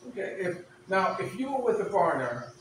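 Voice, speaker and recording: A man hosting a casual mock late-night interview, teasing and joking, halting with many fillers, in a room with a fan running. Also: sits high, sing-song, recorded from a distance, a little hiss or hum. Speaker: host. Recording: very echoey room, voice from off the mic.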